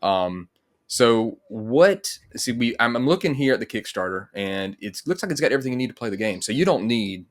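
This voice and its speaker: A man's voice: medium pitch, very animated, fairly quick. A man hosting a casual interview over a video call, talking in an agreeable, affirming way. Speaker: interviewer, host. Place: indoor room, video call.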